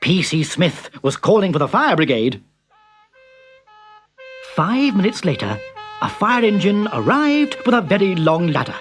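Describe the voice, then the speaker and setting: A man telling a children's story with a sing-song, lively voice that swoops up and down. Music plays clearly behind him throughout. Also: high-pitched, unhurried, pauses often. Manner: lively, expressive narration. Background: background music.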